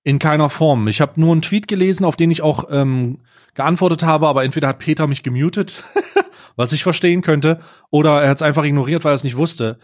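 Male podcast co-host, slightly high voice, casual and emphatic discussion style. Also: a little fast.

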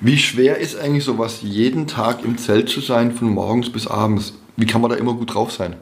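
Quick-talking male interviewer. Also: mid-range voice, fairly even intonation, background noise. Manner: brief prompts and backchannel "mhm". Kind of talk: interview questions.

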